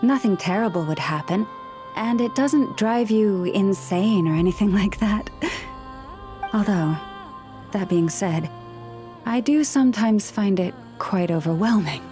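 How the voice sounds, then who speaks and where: A woman playing a gentle young woman who understands animal speech, soft and patient with a quiet wry edge. Her pitch sits in an ordinary middle range. Faint game music hums behind her. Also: lively intonation, measured pace, close to an everyday voice. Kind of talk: game character dialogue.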